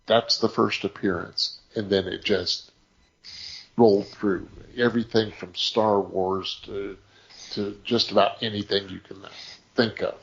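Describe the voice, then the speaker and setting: A man telling anecdotes in casual podcast chat, speaking in short phrases broken by pauses. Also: lowish voice, steady tone, vocal fry, a bit bright, lo-fi sound. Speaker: podcast co-host.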